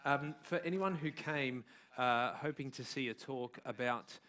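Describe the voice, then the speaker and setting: A man's voice: medium pitch, unhurried, bright, forceful tone. Man chairing a conference session. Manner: polite, brief announcements with fillers.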